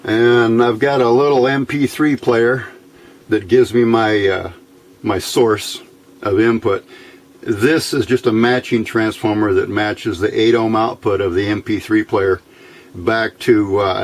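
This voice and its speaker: A man giving an informal, somewhat halting hands-on electronics demonstration as the host. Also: on the low side. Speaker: host of electronics tinkering videos. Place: indoor workbench.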